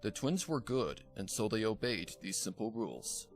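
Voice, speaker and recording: A man's voice: fairly low pitch, very animated, moderate pace, faint music behind. A man reading a scripted story aloud as its narrator. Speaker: fan fiction narrator.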